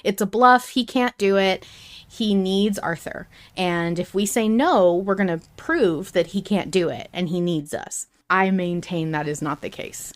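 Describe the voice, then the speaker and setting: A woman's voice: mid-range voice, unhurried. A woman hosting a podcast, talking casually and emphatically with opinions and casual swearing. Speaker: podcast host. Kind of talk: casual discussion chat.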